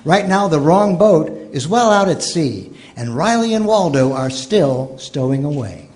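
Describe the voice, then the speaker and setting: High-pitched old-time radio announcer man. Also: lively intonation, a little slow, a little mellow. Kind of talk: old-time radio commercial and scripted narration.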